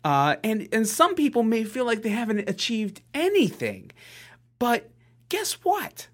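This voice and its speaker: A man in his late thirties co-hosting a podcast, talking in an earnest, halting way with lots of fillers and restarts. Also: extremely high pitch, huge pitch swings. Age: 30s. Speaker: podcast co-host. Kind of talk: casual motivational podcast chat.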